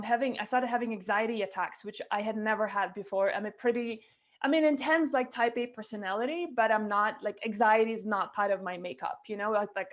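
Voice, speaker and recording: A middle-aged woman guest on a video call, telling her own story with animated gestures and frequent fillers and restarts. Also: mid-range voice. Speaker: guest, nutrition coach. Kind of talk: candid interview storytelling. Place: indoors at a window with a snowy forest view. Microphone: video call, webcam.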